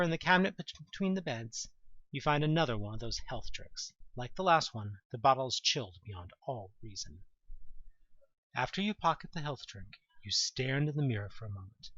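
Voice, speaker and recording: A man reading scripted second-person audio drama narration in a deliberate, dramatic storytelling manner. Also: medium pitch, fairly bright tone, no room sound, muffled recording.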